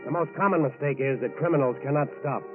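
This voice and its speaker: A man's voice: medium pitch, fast talker. A man narrating a scripted 1940s radio crime drama in a grave, emphatic manner. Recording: tinny, narrow-band 1940s radio recording. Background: music.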